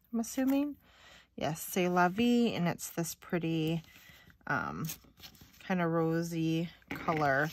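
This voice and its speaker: A woman chatting casually and thinking aloud as she hosts an unboxing video, sounding slightly stuffy-nosed. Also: deep voice. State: slightly stuffy, sniffly nose. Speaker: craft video host. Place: indoors at a craft table.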